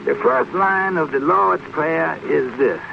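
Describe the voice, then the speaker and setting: A man's voice: fairly high pitch, gravelly, heavily acted. An actor playing a tender, devout father who patiently explains a prayer to his child at bedtime in an old radio drama. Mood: tender, reverent. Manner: gentle, slow, patient explaining. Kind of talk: 1940s-50s radio acting. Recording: old muffled radio recording, music behind. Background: choir music from a radio.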